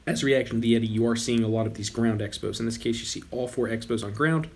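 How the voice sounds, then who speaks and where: A man's voice: medium pitch, fast talker. Man commenting on a game with a worn voice. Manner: relaxed, rambling with frequent self-corrections. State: losing his voice. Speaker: gaming channel host.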